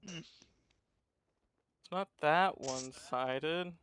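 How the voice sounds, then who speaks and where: A man's voice: on the high side, drawn-out words, a bit bright, slight background noise. A man chatting casually as a co-commentator, wry and given to swearing. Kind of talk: casual chat.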